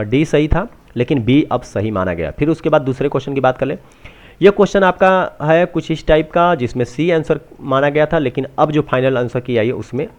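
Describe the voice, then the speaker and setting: A man talks through exam updates unscripted, halting and often restating what he has just said. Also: medium pitch. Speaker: presenter of exam answer-key updates. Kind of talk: unscripted walkthrough explanation.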